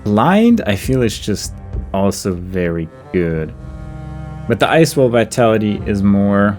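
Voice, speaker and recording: A man's voice: lowish voice, sing-song, a little slow, a little mellow, faint music behind. A man doing casual gameplay commentary, thinking aloud in a hesitant, musing way that trails off mid-thought. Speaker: video game player and commentator.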